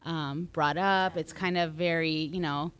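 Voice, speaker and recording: Smooth, lowish-voiced American woman. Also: fairly even intonation, a little slow, fairly bright tone. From United States. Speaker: panel member, counselor in training. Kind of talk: casual podcast introduction.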